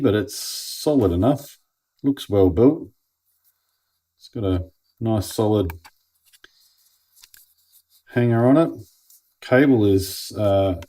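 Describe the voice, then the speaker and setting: A man's voice: sits low, mellow, slightly rough. An Australian man giving a casual hands-on product review in a laid-back, plain-spoken way, as if thinking aloud. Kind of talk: casual unboxing and review commentary.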